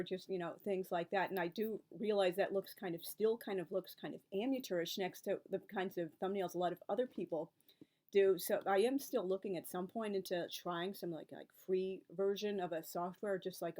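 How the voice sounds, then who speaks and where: A woman's voice: lowish voice, steady tone, normal speed, a little mellow. Rambling, chatty woman in her forties. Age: 40s. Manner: chatty and rambling, piling up asides. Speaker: book and author video creator. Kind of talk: casual reflective vlog talk.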